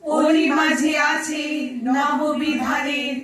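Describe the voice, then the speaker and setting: Echoey high-voiced woman chanting poetry, sweeping pitch. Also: level tone, a bit bright, slight background noise. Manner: declamatory, drawn-out delivery. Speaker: recitation performer. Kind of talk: dramatic, chanted poetry recitation. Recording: echoey room, distant microphone.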